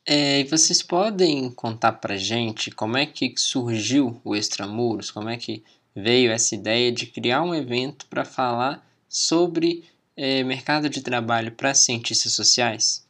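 A young Brazilian man, hosting a podcast with a clear, welcoming delivery. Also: mid-range voice, unhurried, few pauses, fairly bright tone. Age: young adult. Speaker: podcast host, anthropology student. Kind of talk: podcast hosting, scripted intro.

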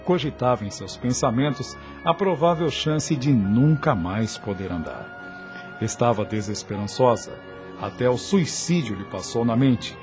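A man narrating a radio drama in a formal, solemn and measured storytelling style. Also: medium pitch. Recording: radio production with music underneath. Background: background music.

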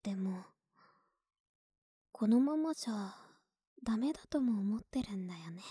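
A woman playing an affectionate, slightly clumsy young neighbor with a big-sister air in an ASMR voice drama, speaking softly and intimately, often in a whisper. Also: medium pitch, lively intonation, moderate pace, no echo, studio quality. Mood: tender, confiding. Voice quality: breathy. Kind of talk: Japanese ASMR situation voice drama.

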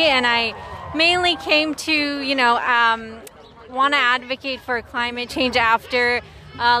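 A woman's voice: high voice, bright, forceful tone. A woman being interviewed outdoors at a protest, speaking thoughtfully and hesitantly with many fillers. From immigrant recently moved to Canada. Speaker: interviewee at a climate strike. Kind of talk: street interview. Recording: outdoor crowd noise.

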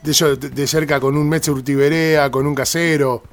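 An Argentine man hosting a casual radio interview, repeating words haltingly as he forms his questions. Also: fairly high pitch, steady tone. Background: music.

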